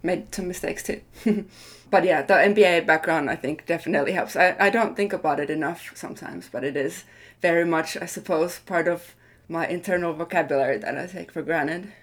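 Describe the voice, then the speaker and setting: A woman's voice: fairly low pitch, brisk. A woman speaking as a design leader in an interview, reflective and careful to qualify what she says. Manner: thoughtful, hedging, self-qualifying.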